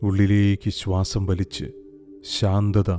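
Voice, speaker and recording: A man narrating a scripted guided meditation in a calm, soothing, unhurried way with long pauses. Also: low voice. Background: soft meditation music with singing bowls.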